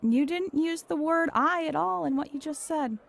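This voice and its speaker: A woman's voice: high-pitched, moderate pace, vocal fry. A woman acting in an audio comedy drama as a flustered, dramatic office worker, speaking emphatically. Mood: demanding.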